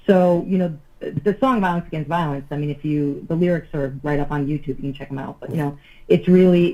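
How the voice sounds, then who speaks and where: A woman's voice: low-pitched, lo-fi sound. A woman who leads a band, answering interview questions earnestly, with loose phrasing and fillers. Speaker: band lead singer, guest.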